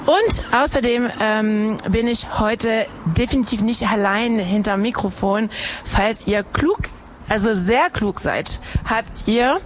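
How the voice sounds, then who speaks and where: A woman's voice: a little high, slight background noise. A woman hosting a live radio show outdoors, chatting and teasing her listeners with many fillers. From Harz, Germany. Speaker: radio host. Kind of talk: casual live radio chat. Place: outdoors at a live street broadcast.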